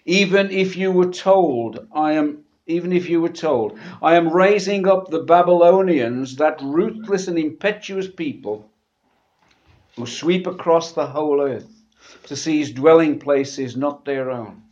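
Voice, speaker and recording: Slightly husky male preacher, dull recording. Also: a little high, a little mellow. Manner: halting, conversational, often repeating words. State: sore throat after a medical procedure. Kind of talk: church sermon with scripture reading. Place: church, speaking to a congregation. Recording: dull, muffled church recording.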